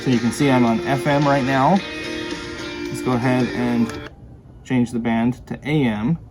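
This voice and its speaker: A man's voice: mid-range voice, steady tone, measured pace, loud music behind the voice. A man giving a casual, plain and explanatory hands-on product demonstration. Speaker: product reviewer. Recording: clear background noise.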